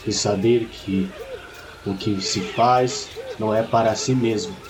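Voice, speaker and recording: Man acting a solemn, low-voiced Brazilian village shaman. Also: steady tone. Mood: wise, patient. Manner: measured, grave, instructive. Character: solemn village shaman, wise patient mentor to a boy. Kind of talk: scripted audio story acting.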